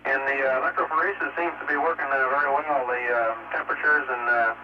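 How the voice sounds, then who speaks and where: A man talking as an astronaut to ground control, relaxed and chatty with many fillers. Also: mid-range voice, quick pace. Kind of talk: radio exchange with ground control. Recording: noisy dull radio link.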